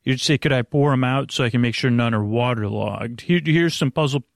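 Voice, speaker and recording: A man hosting a bedtime podcast, rambling gently and haltingly to the listener, stammering and drifting into tangents in a friendly way. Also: medium pitch, vocal fry, no room sound, clean studio sound. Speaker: podcast host. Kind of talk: rambling, meandering bedtime monologue addressed to the listener.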